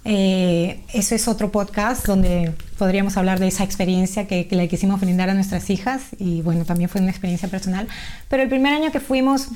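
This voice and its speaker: A woman's voice: medium pitch, a little fast, darkish tone. A middle-aged Peruvian woman with a Peruvian Spanish accent, a guest chatting casually in an interview, with frequent fillers and restarts. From Peru.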